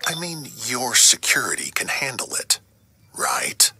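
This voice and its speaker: An actor playing a flippant, sardonic tech schemer in a video game, dry and offhand. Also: male voice, mid-range voice, wildly swooping pitch, measured pace, bright, forceful tone, husky, soft background music, exaggerated voice. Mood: snide, offhand. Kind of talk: scripted video game acting.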